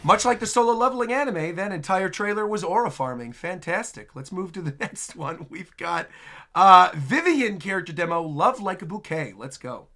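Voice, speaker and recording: A man's voice: high voice, animated, normal speed, sharp tone. A man giving casual reaction commentary as a video host, full of enthusiastic exclamations.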